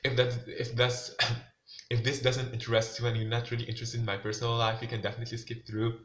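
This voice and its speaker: A man's voice: mid-range voice, flat delivery, fast, fairly bright tone. Young man rambling with fillers. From Tunisia. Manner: loose, filler-heavy talk, "like" and "you know what I'm saying" everywhere. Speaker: vlogger. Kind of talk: casual monologue to camera. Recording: roomy and dull, lo-fi.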